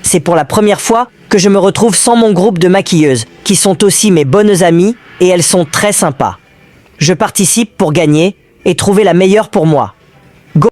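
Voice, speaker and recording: A man's voice: sits high, moderate pace, darkish tone, no room sound, lightly acted. A man playing a cocky young influencer. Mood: confident. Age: 20s. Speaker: reality show contestant. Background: music.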